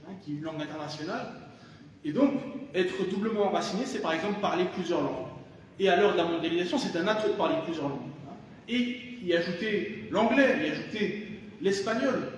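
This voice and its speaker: A man gives a public lecture in a big hall, and his fairly high voice carries a long, booming echo. He sounds distant from the microphone, with a faint hiss behind him. He speaks thoughtfully, pausing often and restarting phrases. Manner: thoughtful, many hesitations and restarts. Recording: echoey hall from far off, faint noise.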